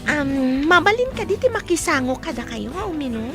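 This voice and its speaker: A woman plays a flirty, scheming waitress in a radio comedy drama. Her voice is extremely high and swings widely in pitch, over prominent music. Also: sing-song, normal speed.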